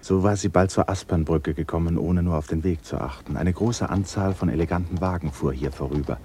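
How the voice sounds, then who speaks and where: Very deep-voiced man narrating a radio play. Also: a little fast, warm tone. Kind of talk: literary radio drama narration. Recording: noisy radio production with ambience. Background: noise, ambience.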